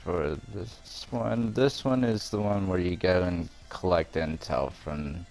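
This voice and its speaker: A man's voice: low voice, takes it slowly, vocal fry, darkish tone, soft background music. A man giving a casual video game walkthrough, explaining things step by step in an informal way. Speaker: gamer presenting a walkthrough.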